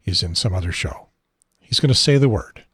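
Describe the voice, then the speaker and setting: A seventy-year-old man with an American accent and a husky, unsteady voice, hosting a casual unscripted talk show in a rambling, halting, wry way. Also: low voice, lively intonation, background noise.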